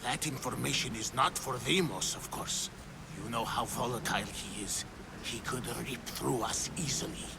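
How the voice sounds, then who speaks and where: An actor playing a sly, menacing male cultist in a video game cutscene, theatrical and conspiratorial, slipping into breathy whispers. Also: mid-range voice, moderate pace, background noise, natural voice. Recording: loud background ambience.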